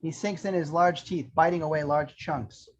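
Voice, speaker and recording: Man, slightly high voice, scripted action narration, table read, dull lo-fi audio. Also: steady tone, normal speed, a little hiss or hum. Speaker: reader of screenplay action lines. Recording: dull lo-fi sound.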